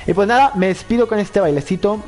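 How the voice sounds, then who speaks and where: Chatty Mexican streamer with playful squeals. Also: male voice, expressive, fast, darkish tone, soft background music. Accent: Mexican Spanish. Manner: playful, chatty, laughs often, jumps into high squeals. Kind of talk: live-stream gameplay chat reading comments.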